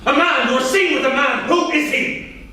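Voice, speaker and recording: A man's voice: very high voice, normal speed, sharp tone, heavily acted. An actor in a staged play reading, playing a domineering, volatile husband and businessman with an emphatic delivery. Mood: furious, threatening. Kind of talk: staged reading, theatrical dialogue. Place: indoor theatre at a staged reading. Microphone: distant room microphone. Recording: distant, very echoey theatre room, faint noise.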